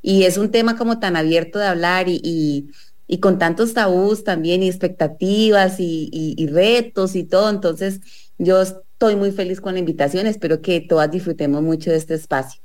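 A woman's voice: lowish voice, moderate pace, a little mellow. A woman in her thirties, a guest on a radio talk show, chatting and telling stories with lots of fillers. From Colombia, Medellín. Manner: chatty storyteller, many "o sea" fillers. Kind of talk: casual interview chat. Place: radio studio.

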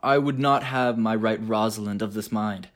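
Woman playing an earnest lovesick young man, very low. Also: moderate pace. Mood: ardent, earnest. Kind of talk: radio play Shakespeare comedy acting.

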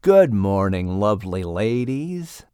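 A man voicing a suave, secretive snake in a children's storybook, with a courtly, drawn-out way of talking. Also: fairly low pitch, very animated, dark tone, smooth, no echo, studio quality, pitched below the performer's own voice. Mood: charming.

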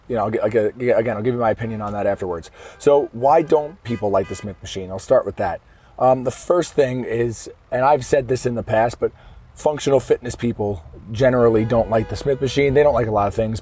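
Hoarse man talking from his car. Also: medium pitch, dark tone. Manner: conversational, explaining step by step with frequent self-corrections. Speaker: fitness podcast host. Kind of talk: casual solo podcast monologue. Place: inside a car in traffic. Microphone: podcast microphone.

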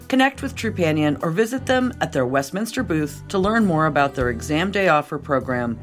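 Woman podcast host, pretty low voice, really into it and emphatic, bit of music. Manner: enthusiastic, emphatic. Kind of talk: podcast hosting with scripted promo reads.

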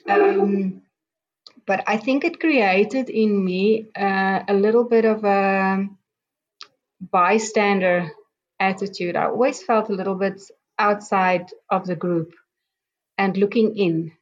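A middle-aged woman from South Africa, a native Afrikaans speaker with a South African accent, slowly telling her life story as a guest, with many pauses and ums. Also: mid-range voice, steady tone. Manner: slow, halting, many ums and restarts. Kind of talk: reflective personal storytelling. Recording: dull lo-fi sound.

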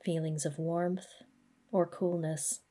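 Husky, low-voiced woman guiding meditation. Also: flat delivery. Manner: gentle, measured, instructional. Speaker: mindfulness practice guide. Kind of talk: guided body scan meditation narration.

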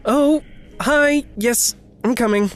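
A woman playing an earnest, anxious young character with a halting manner in an audio drama. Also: on the high side, faint music behind. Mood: eager. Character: earnest, hesitant, anxious young person, grateful to friends. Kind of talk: naturalistic audio drama acting.

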